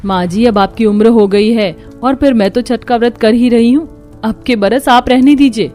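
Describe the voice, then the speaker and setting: A woman voicing a gentle, dutiful poor young daughter-in-law in a cartoon, caring and humble. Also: fairly high pitch, moderate pace, faint music behind, close to an everyday voice.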